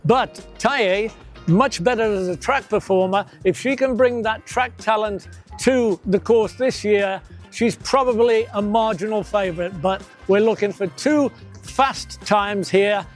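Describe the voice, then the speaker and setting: A man with a high voice narrates a scripted pre-race preview. He delivers it briskly and informatively, with a soft music bed behind him.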